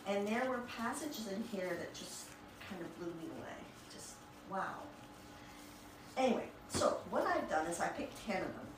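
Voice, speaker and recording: A woman talking informally to a group and reading book passages aloud, with halting, admiring asides. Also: medium pitch, animated. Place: echoey room with a small group present. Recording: echoey room, distant mic, clear background noise.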